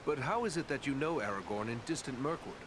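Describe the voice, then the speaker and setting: An actor playing an earnest human ranger in a fantasy game, speaking formally and steadily with polite courtesy. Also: male voice, medium pitch, animated, fast talker, natural voice. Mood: polite curiosity. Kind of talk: fantasy video game character acting. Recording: clear background ambient noise.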